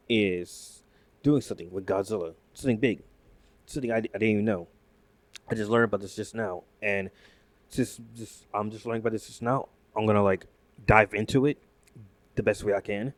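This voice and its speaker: Excitable rambling man, lowish voice. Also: moderate pace, pauses often, no echo. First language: not Japanese. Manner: rambling, excitable, many fillers and restarts. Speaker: YouTube commentator. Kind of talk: casual reaction commentary reading news aloud.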